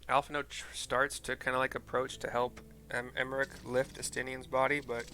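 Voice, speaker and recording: A man's voice: mid-range voice, little pitch movement, fairly quick, sharp tone, a little hiss or hum. A man streaming a video game, narrating scenes and commenting casually with rambling, informal, sweary asides. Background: game music.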